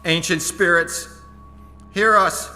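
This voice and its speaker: In cavernous reverb over music, an actor plays a solemn young man summoning spirits in a horror audio drama. He intones each phrase slowly and insistently, with a low, sing-song incantation. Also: steady tone, sharp tone, exaggerated voice. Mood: fervent, commanding.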